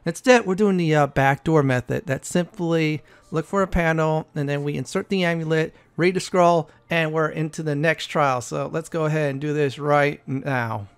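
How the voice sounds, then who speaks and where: A man's voice: fairly high pitch, fairly even intonation, normal speed, hardly any pauses, smooth. A man hosting a gaming channel, giving casual walkthrough commentary and explaining things in short, plain phrases.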